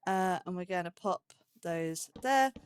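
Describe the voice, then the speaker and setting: A woman's voice: lowish voice, animated, unhurried, clean tone. A woman giving casual, chatty let's play commentary as a gaming host, thinking aloud as she goes. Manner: thinks aloud, chatty, talks to game animals.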